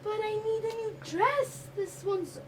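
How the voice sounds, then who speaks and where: A woman's voice: very high-pitched, unhurried. A young woman, a student stage actor, playing a put-upon housewife who answers back with indignant sarcasm in a live one-act play. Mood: pleading, frustrated. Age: teenager or young adult student. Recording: distant, noisy stage recording.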